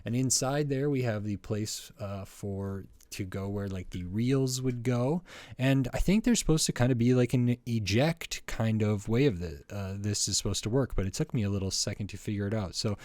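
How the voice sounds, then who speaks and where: A middle-aged man giving a casual hands-on review, speaking in a halting, hesitant way with frequent pauses. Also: lowish voice, darkish tone, no echo. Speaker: toy review channel host.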